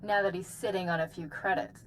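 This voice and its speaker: A woman's voice: lowish voice, steady tone, a little fast, background noise, lightly acted. An actress playing a sneering, taunting female bounty hunter in a video game, with a mocking drawl.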